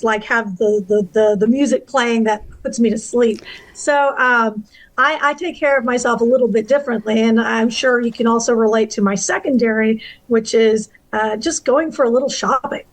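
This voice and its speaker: A woman's voice: on the high side, low-quality recording. A woman entrepreneur chatting in an upbeat way, often restarting her sentences. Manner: upbeat, chatty, self-correcting. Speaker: entrepreneur guest. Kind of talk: casual video-call conversation.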